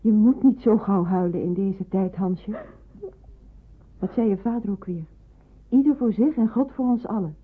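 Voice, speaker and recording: A woman's voice: mid-range voice, moderate pace, pauses often, husky, close to an everyday voice. An actress playing a stern, practical middle-aged mother of a wartime household, firm and admonishing, in a radio play. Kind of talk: radio drama acting. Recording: tinny, narrow old radio recording.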